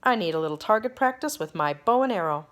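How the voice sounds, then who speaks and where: A woman's voice: mid-range voice, lively intonation, quick pace, fairly bright tone, voice pushed up, natural voice. A woman voicing a proud, spoiled little boy duke who sneers and taunts. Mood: sneering. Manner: sneering, bratty.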